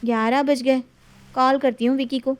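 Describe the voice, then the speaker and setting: Woman acting high-voiced, assertive young wife. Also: flat delivery, moderate pace, close to an everyday voice. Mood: concerned. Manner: scolding, assertive. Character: sensible, independent young wife, firm and exasperated.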